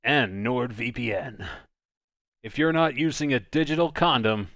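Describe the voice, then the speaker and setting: A man hosts a live stream in casual, joking chat. His pitch is mid-range but moves around a lot, and the sound is dry and close. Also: lively intonation, slow, fairly bright tone, dry recording. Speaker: host of a live dubbing stream.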